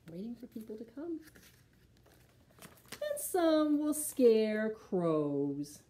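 A middle-aged woman hosting a preschool storytime, reading and talking to young children in an expressive, sing-song way. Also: fairly high pitch, vocal fry. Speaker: library storytime host for preschoolers. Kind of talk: children's storytime, singing and reading a picture book aloud.

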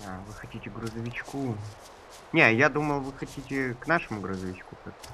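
A man's voice: medium pitch, lively intonation, fairly quick, bright, forceful tone, slight background noise, rough recording. A slightly ill man chatting casually with teammates during a group gaming session.

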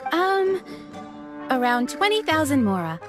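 A woman's voice: sits high, big pitch swings, unhurried, over music. A woman playing a cheerful, polite young inn waitress in a video game, with a salesy, courteous manner.

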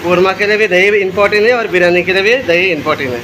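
A man's voice: high-pitched, fast talker. A man casually narrating a cooking demonstration as the cook, in a step-by-step way. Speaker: cook presenting a recipe. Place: restaurant kitchen, indoors. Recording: noisy kitchen, loud background roar.

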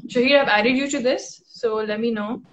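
A woman's voice: fairly high pitch, fairly even intonation, moderate pace, a bit bright, rough recording. A woman, hosting a casual live interview from a room at home on a phone. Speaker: host and interviewer. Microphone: phone at arm's length.

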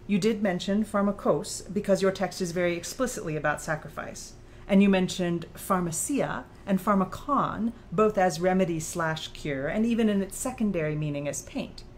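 A woman playing a sharp, articulate female author who challenges and analyzes in audiobook dialogue. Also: lowish voice, moderate pace, a little hiss or hum, close to an everyday voice. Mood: lecturing. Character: sharp, probing female author challenging her own creator.

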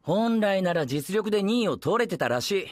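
A man's voice: high voice, very animated, moderate pace. A man playing a sarcastic, exasperated teenage boy in an anime audio drama, blunt and dry with explosive retorts. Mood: weary, scolding.

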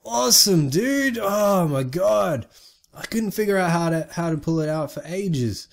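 A sick young man giving casual, excitable let's play commentary on a retro game, swearing often and talking to the characters on screen. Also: sits high, measured pace, gravelly, faint music behind. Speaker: gaming YouTuber.

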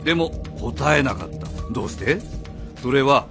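A man playing a blunt, accusing outsider in a radio drama, confrontational and rough in his speech. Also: medium pitch, animated, normal speed, lightly acted. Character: blunt, accusing male outsider challenging authority. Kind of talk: Japanese radio drama acting. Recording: faint music behind the voice.

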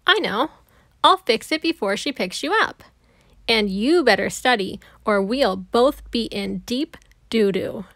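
A woman voicing a fussy, proper grown-up man, an easily flustered babysitter in a children's storybook, in a prim, drawn-out way. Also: on the high side, expressive, a bit bright, no room sound, studio quality. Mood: determined. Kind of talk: children's storybook read-aloud.

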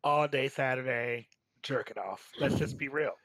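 A man hosting a staged reading, talking casually and haltingly. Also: mid-range voice, fairly bright tone, no room sound. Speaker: host of a staged game reading. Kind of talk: casual commentary and introductions.

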